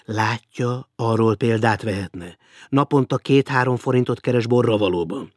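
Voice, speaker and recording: A man playing a strict hotel official laying down the rules in a firm, commanding tone. The voice is husky and loud, clipped and cold. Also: medium pitch, dry recording, studio quality, lightly acted. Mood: stern. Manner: stern and commanding.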